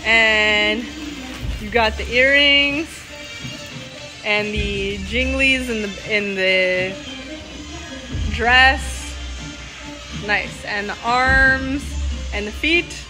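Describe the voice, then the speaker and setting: Casting: young female vlogger, high voice, unhurried pace, playful and excitable, casual to-camera chat. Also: over music, poor audio.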